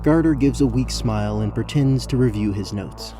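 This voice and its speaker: A man's voice: medium pitch, moderate pace, over music. A man narrating a scripted fantasy story as the narrator of an audio drama.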